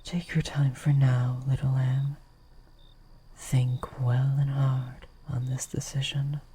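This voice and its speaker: A woman voicing a gentle but possessive vampire lady in an intimate roleplay, soft-spoken and often whispering, with formal, old-fashioned, coaxing phrasing. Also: rumbling low, level tone, a little hiss or hum. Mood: tender, possessive. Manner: formal, archaic, slow, coaxing.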